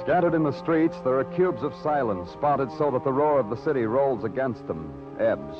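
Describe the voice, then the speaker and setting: A brooding American big-city detective, played by a male radio actor, somber, deliberate and terse, in vintage noir style. The sound is dull and old, with loud music underneath. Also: mid-range voice, expressive, close to an everyday voice. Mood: brooding, poetic. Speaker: narrator and lead detective. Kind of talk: old-time radio noir acting, poetic narration.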